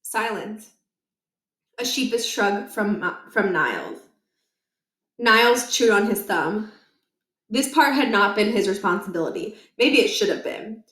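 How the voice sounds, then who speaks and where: A woman narrating a children's book aloud in an expressive, storytelling way. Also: mid-range voice, a little slow, pauses often. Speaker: storybook reader. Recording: roomy, echoing indoor recording.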